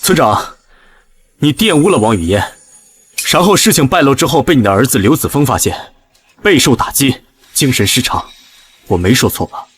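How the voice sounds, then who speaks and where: Man acting a shrewd investigator with animated pitch. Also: husky. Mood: firm, negotiating. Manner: deliberate reasoning, authoritative. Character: shrewd, composed male investigator reasoning and negotiating. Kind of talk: dramatic audio drama acting.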